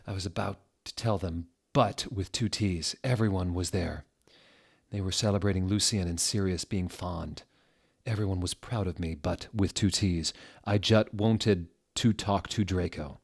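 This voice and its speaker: A man narrating fan fiction as a theatrical dramatic reading, with deliberate, mocking delivery. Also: low-pitched, gravelly, breathy edge.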